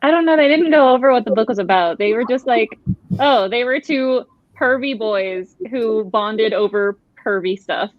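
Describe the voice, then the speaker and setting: A woman's voice: a little high, moderate pace, no room sound. A woman co-hosting a casual chat, telling stories in a frank, informal way with quoted asides, over dull call audio. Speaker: co-host of a book readalong livestream.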